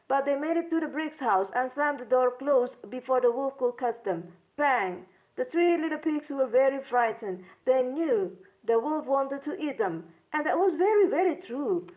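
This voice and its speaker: A woman storyteller narrating a children's storybook tale, on a dull recording. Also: sits high. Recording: dull lo-fi sound.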